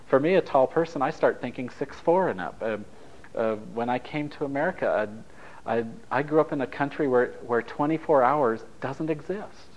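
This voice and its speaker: A man's voice: mid-range voice, normal speed, lo-fi sound. A man from Italy whose first language is Japanese, leading a taped relationship workshop in a conversational, anecdotal way, joking with the group. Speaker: workshop leader.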